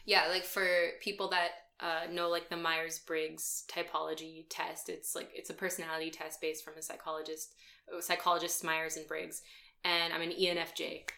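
A young woman with a bright, clear tone co-hosts a relaxed podcast. She rambles through stories in a chatty, filler-heavy way and laughs between thoughts. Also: low-pitched, normal speed. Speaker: podcast co-host. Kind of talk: casual chat.

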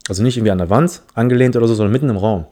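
A man's voice: medium pitch, rapid-fire, mellow. A man from the Philippines hosting a casual solo podcast, telling a story in a rambling, self-mocking way with many restarts. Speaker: podcast host.

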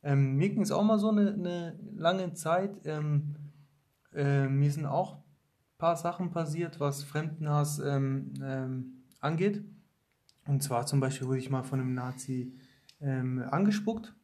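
Fairly high-voiced man, hesitant host. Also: a little slow, a little mellow. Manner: hesitant, lots of ähm fillers, affirming. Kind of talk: casual conversation.

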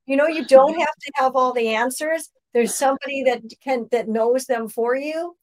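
A woman with a somewhat high-pitched voice hosts a faith interview. She talks conversationally, in an effusive and affirming manner, as if reflecting along with a guest. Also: moderate pace, a little mellow. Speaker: interview host.

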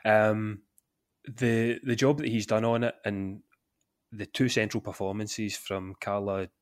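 A man with a Glaswegian accent, a guest on a podcast, chatting in a relaxed, easygoing way with frequent laughs. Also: on the low side, unhurried, smooth, dry recording. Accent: Scottish, Glaswegian. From Scotland. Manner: relaxed, chatty, laughs often, says "aye". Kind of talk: casual chat.

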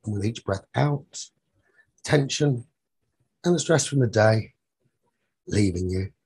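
A very breathy, husky man leads a guided meditation for sleep at a slow pace. His tone is soft and mellow, with short soothing phrases set apart by long pauses. The sound is close and dry. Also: lowish voice, lively intonation, no echo. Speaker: meditation teacher leading a guided sleep talk-down.

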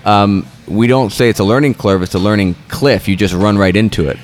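A man's voice: lowish voice, steady tone, brisk. A man in casual conversation, rambling and often restarting his sentences. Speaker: guest.

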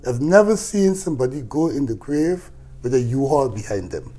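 Mellow, animated elderly Guyanese man. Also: medium pitch, moderate pace, faint music behind. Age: 70s. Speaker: guest, church elder. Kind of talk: reflective conversational interview.

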